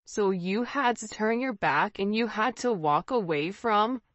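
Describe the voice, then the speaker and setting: A woman's voice: medium pitch, animated, measured pace, a bit bright, no room sound, lo-fi sound. A woman giving an informal tarot reading straight to her viewers, speaking emphatically and conversationally with rhetorical questions. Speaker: tarot reader, channel host.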